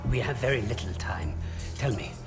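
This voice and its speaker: A cold, demanding Nazi officer played by a male actor presses with impatient threats in a lively, varied voice. Long reverb and clear music surround this war game scene. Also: fairly low pitch, moderate pace, natural voice. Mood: menacing.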